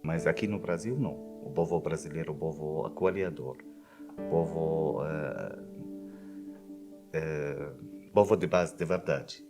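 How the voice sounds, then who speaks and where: Syrian-accented man, 39, low register, halting non-native testimonial over a music bed. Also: mellow. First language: Arabic. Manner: halting, searching for words. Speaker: refugee, small business owner. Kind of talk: testimonial in a documentary. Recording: clear music bed behind.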